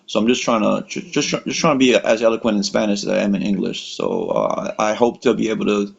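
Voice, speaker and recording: An American-born man chatting casually on a video call, rambling with lots of fillers and relaxed slang. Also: fairly low pitch, fast, creaky, slightly rough. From United States. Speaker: workshop participant. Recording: dull lo-fi call audio.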